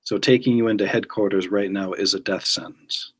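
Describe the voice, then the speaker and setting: Man acting a guarded, husky male agent. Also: fairly low pitch, steady tone, a little fast. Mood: grim. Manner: blunt, terse, dry retorts. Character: guarded, gruff, suspicious male agent, youthful looking.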